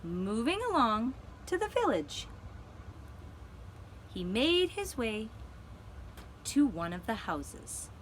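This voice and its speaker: Very slow, high-voiced woman storyteller. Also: big pitch swings, a little hiss or hum. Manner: deliberate, dramatic pauses. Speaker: storyteller, puppeteer. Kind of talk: gentle children's storytelling.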